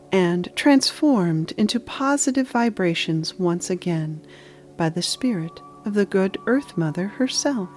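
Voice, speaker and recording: A woman narrating a guided meditation in a soothing, measured manner with deliberate pauses. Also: mid-range voice, darkish tone.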